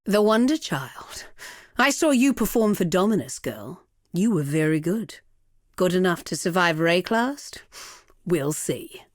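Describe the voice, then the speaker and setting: A woman's voice: lowish voice, sing-song, unhurried, no echo. A cruel game villainess, haughty and silky. Her speech is theatrical and swoops up and down with mocking condescension. The sound is dry and close. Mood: intrigued, sly. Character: haughty, cruel female villain, a thaumaturgist obsessed with experiments. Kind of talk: scripted video game villain lines.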